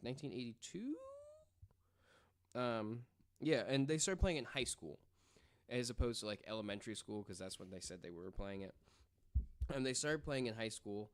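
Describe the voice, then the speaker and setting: A man's voice: lowish voice, clear, resonant tone. A man telling a story casually as a podcast guest, in loose, informal wording.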